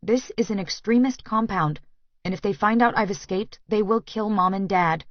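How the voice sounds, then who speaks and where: A woman's voice: medium pitch, normal speed, no echo, highs cut off, natural voice. A woman voicing an anxious, stubborn young woman, earnest and insistent, in an audiobook.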